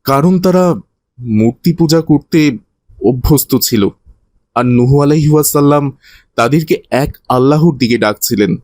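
A man's voice: mid-range voice, darkish tone, lightly acted. A man playing a kindly, didactic teacher who tells moral religious stories to children in a cartoon. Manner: didactic, earnest.

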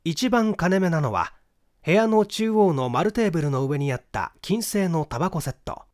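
A man narrating a mystery story from a script in an expressive storytelling manner. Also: on the high side, normal speed, no echo, studio quality. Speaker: audiobook narrator, stage actor.

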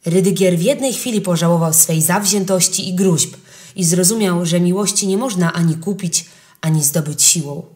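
Female storyteller with a fairly low voice, calm, clear storybook read for kids. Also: moderate pace. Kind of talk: scripted narration of a legend for children.

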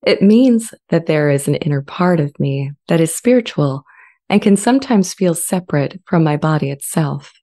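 A woman playing a gentle, thoughtful sentient AI in a dramatized reading, with a calm, measured and reflective delivery. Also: low voice. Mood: serene, thoughtful. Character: sentient female-voiced AI, gentle, serene and thoughtful. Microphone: large studio condenser mic up close.

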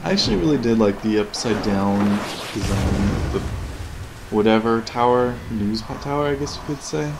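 A man's voice: fairly low pitch, expressive, moderate pace, creaky, background noise. Man rambling through sardonic game commentary. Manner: rambling, questioning, lightly sardonic. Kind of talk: casual review commentary over game cutscenes. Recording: game audio playing behind voice.

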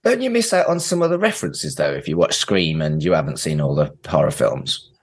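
A man's voice: rumbling low, very animated, brisk, breathy edge. A man hosting a podcast in a casual, chatty style, with wry, self-deprecating asides. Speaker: podcast host.

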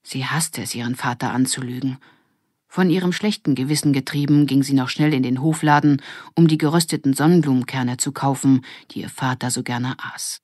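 A woman's voice: a bass voice, brisk, darkish tone, dry recording, studio quality. A woman narrating a scripted children's story as an audiobook reader.

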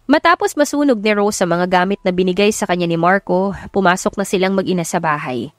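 A woman's voice: medium pitch, lively intonation, fast talker, no room sound, clean studio sound. A woman reading a scripted romance story as a dramatic audiobook narrator.

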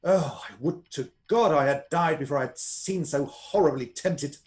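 A man acts a despairing husband in a period radio play. He is an earnest gentleman, melodramatic, with a fairly high voice. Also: normal speed, natural voice. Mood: anguished.

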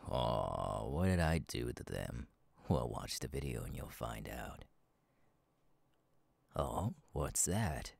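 A man voicing a sadistic masked killer in an ASMR roleplay, husky and taunting, slow and halting, often dropping to a whisper. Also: extremely low pitch, very animated, darkish tone, dry recording, heavily acted. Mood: gleeful, mocking. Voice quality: husky, gravelly. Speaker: voice actor, ASMR artist. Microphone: close, dry microphone.

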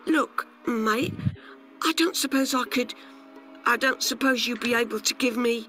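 A man voicing a carefree, boastful grasshopper in a children's storybook, breezy, matey and excitable. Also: very high voice, a little slow, faint music behind, pitched above the performer's own voice, full character voice. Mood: cold, pleading. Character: carefree, boastful young male grasshopper, cheeky and chatty.

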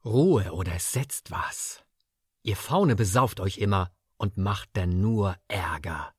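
A man voicing a gruff, commanding guard in a fantasy audio drama, stern and threatening. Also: sits low, lively intonation, slow pace, dry recording, studio quality, soft background music, voice pushed down, heavily acted. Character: gruff, threatening male guard scolding drunken fauns.

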